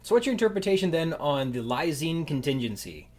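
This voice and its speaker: Musing, halting male podcast host. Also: a little high, very animated. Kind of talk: casual interview chat.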